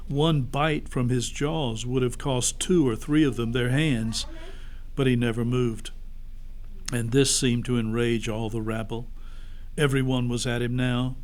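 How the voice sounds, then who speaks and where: A man's voice: medium pitch, a little mellow, a little hiss or hum. A man narrating a story expressively, as a pastor reading aloud to children.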